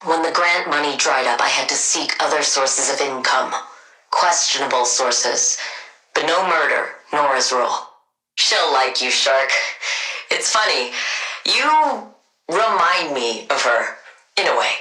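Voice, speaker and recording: A woman playing a cold, sardonic scientist villain in a video game, speaking in a dry, clipped deadpan. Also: low voice, a little slow, echoey room, heavily acted, low-quality recording. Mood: measured, guarded. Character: cold, sardonic female genius scientist villain devoted to her wife.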